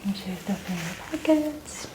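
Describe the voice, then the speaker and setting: A soft, breathy woman, often whispering, doing a playful, coaxing ASMR roleplay as a security officer. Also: medium pitch, expressive, fairly quick, noisy background. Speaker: ASMR performer role-playing a security officer.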